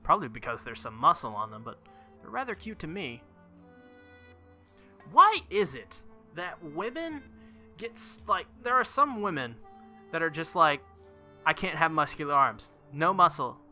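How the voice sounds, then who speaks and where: Bright, forceful man reading aloud. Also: fairly high pitch, very animated, measured pace, pauses often, soft background music. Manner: casual, joking asides between dramatic readings. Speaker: gaming video creator reading a visual novel aloud. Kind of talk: expressive read-aloud with casual commentary.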